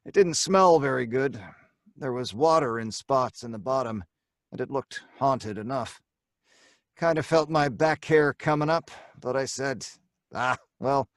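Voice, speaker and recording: A man's voice: medium pitch, dry recording, studio quality, lightly acted. An actor playing a brash, mocking crook who narrates his own tale in slangy old-time radio drama style. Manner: slangy, hard-boiled tough talk.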